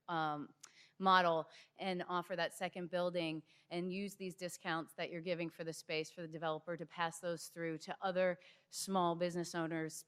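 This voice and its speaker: A woman's voice: fairly low pitch, fairly bright tone, clear, resonant tone. A woman, a small business owner, giving earnest, grateful public comment at a meeting.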